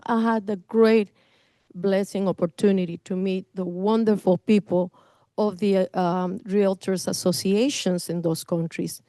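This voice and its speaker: A middle-aged woman from Costa Rica speaks with a Costa Rican Spanish accent as a guest realtor at a business meeting. She is enthusiastic and effusive, piling up warm praise. Her voice sits in a mid range, recorded dry. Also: mid-range voice, no room sound. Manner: enthusiastic, effusive, laughs between phrases.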